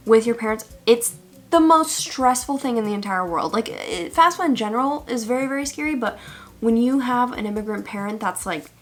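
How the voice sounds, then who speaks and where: A woman's voice: on the high side, animated, fairly quick. Chatty young American woman vlogging. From United States. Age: 20s. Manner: chatty, playful, sassy asides. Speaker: YouTuber. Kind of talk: casual vlog chat.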